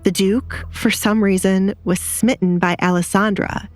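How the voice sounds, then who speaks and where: A woman's voice: mid-range voice, steady tone, unhurried, no echo, soft background music. A woman reading a scripted dramatic story as an audiobook narrator.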